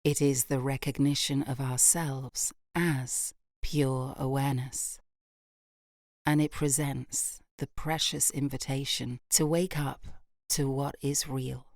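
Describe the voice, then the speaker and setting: A British woman guiding a yoga nidra meditation in a soft, gentle, unhurried voice with long pauses, breathy at phrase ends. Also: very deep voice, flat delivery, dry recording, studio quality. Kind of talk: guided meditation, slow and soothing.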